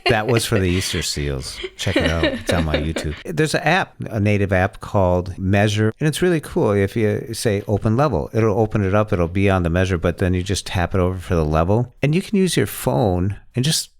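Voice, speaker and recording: A man with a low voice talks as a podcast host in casual conversation. His explanations are folksy and a little rambling, in everyday words. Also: very animated, a little fast, few pauses. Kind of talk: casual tech podcast chat.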